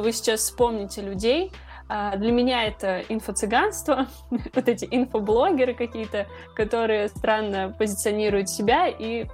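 A woman's voice: medium pitch, a little mellow. A woman speaking as a podcast guest or presenter, explaining things in an informal, colloquial way.

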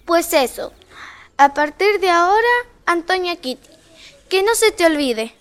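A woman's voice: very high-pitched, lively intonation, measured pace. A woman voicing a sassy, rebellious storybook girl in a comic fairy-tale audio drama.

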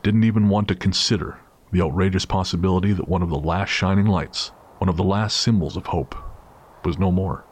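A man's voice: low voice, fairly quick. A man reading a dramatic scripted story as the narrator of an audio drama. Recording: clear background noise and ambience.

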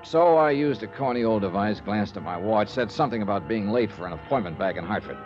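An actor playing a wry insurance investigator narrating in the first person in a 1950s radio drama. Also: male voice, lowish voice, animated, quick pace, close to an everyday voice. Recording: dull lo-fi 1950s radio with music.

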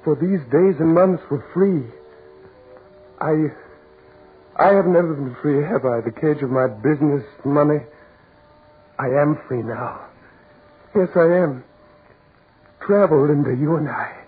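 An actor playing a brooding businessman who yearns to break free, with halting, intense delivery in 1940s radio melodrama style. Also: a little high, husky. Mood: yearning, resolute. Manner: halting, intense, dramatic pauses. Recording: muffled, tinny 1940s radio recording with music.

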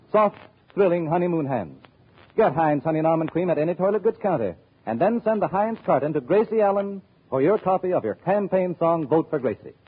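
A man's voice: a little high, husky. An American man announcing a 1940s radio advertisement. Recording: phone-like old radio recording.

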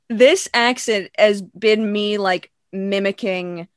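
A woman in her twenties, from England but talking with a Canadian accent, chats in a relaxed, giggly way. Her voice sits at an ordinary pitch, and the sound is dry and close, the way a video call sounds. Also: very slow, no room sound. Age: 20s. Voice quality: laughs often, easygoing and chatty. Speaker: podcast guest. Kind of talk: casual video-call chat.

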